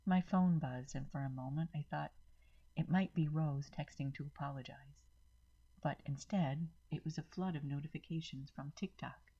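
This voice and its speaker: Low husky woman narrating a story. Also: moderate pace, dark tone, muffled recording. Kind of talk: first-person dramatic story narration.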